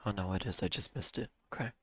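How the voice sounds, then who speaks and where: A man hosting a live day-trading stream, hoarse and losing his voice, talking casually with a cough drop in his mouth and clearing his throat. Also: on the low side, fast talker, pushed and bright, no echo. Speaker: livestream day-trading host.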